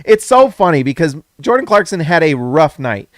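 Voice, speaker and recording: Excitable male sports host, higher voice, going a bit hoarse, dry close sound. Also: moderate pace, no echo. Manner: excitable, emphatic repeats, self-correcting. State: losing his voice. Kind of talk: casual postgame basketball reaction.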